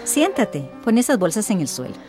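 A woman's voice: medium pitch, sing-song, moderate pace, close to an everyday voice. A woman playing a middle-aged domestic worker in a radio drama, sly and grumbling, with a coaxing, confiding manner. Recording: music behind the voice.

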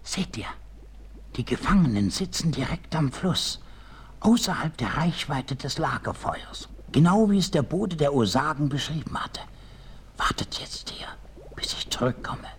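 An actor playing a quirky, crafty comic frontier scout with a husky, breathy voice in a 1960s audio drama. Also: male voice, a little high, sing-song, unhurried, whispered, full character voice. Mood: hushed, secretive.

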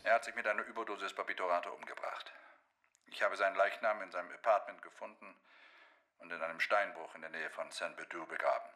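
A subdued witness in a radio crime play. His very low voice has a hard, forceful edge as he states facts plainly. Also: steady tone, quick pace, heavily acted. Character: subdued male witness confessing under questioning. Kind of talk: radio drama acting.

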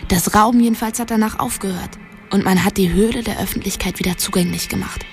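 A woman's voice: mid-range voice, fast, soft background music, close to an everyday voice. An actress playing a headstrong, secretive young woman in a German radio drama, telling things bluntly and conspiratorially. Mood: conspiratorial storytelling. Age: young adult.

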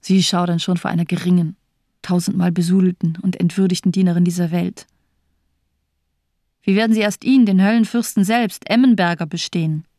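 A woman's voice: fairly low pitch, fairly even intonation, brisk, dark tone, no echo, clean studio sound, close to an everyday voice. An actress playing a bitter, cynical doctor in her thirties in a radio drama, speaking in declamatory, rhetorical phrases. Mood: scornful. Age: 30s. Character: bitter, cynical morphine-addicted woman doctor of 34.

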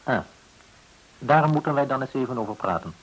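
A man's voice: mid-range voice, animated, normal speed, lightly acted, poor audio. An actor in a radio play playing a cool, probing male boss of shady dealings, curt and controlled. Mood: cool, commanding.

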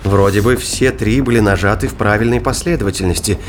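A man's voice: fairly low pitch, brisk, background noise. A man narrating scripted science fiction thriller in a dramatic audiobook style.

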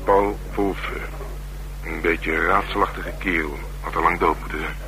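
An actor playing a streetwise, sardonic middle-aged police detective in a Dutch radio drama, talking bluntly and colloquially with dry teasing. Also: male voice, low voice, fairly even intonation, a little slow, a crowd in the background. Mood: matter-of-fact. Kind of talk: naturalistic radio drama dialogue. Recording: humming, noisy old radio broadcast.

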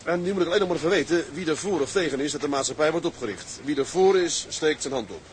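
A man's voice: fairly high pitch, animated, fast talker, slight background noise, natural voice. An actor in a Dutch radio drama playing a genial, plain-spoken sea captain who addresses his men in a businesslike way.

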